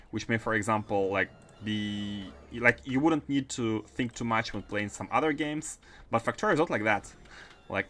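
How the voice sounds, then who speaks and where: A man's voice: fairly low pitch, sharp tone, a little hiss or hum. A man with a Russian accent chatting casually on a gaming livestream, often repeating and restarting his phrases as he plays. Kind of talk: casual livestream chat while playing.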